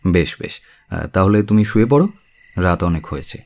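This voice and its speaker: A man's voice: deep voice, animated, unhurried, darkish tone. An actor playing a courteous, caring young man in an audio drama, speaking politely and earnestly. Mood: shy, gentle. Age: young adult.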